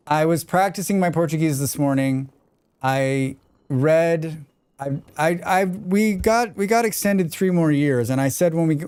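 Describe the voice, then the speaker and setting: A man's voice: a little high, a little mellow, smooth, dry recording. A man with a plain American accent chatting casually on a live game stream, rambling and talking back to his chat. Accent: General American. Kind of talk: casual chat while gaming.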